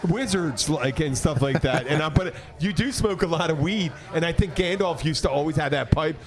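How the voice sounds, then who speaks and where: Male podcast host, voice a little on the high side; loose, rambling, teasing and ready to argue. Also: moderate pace, a crowd in the background. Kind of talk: casual banter.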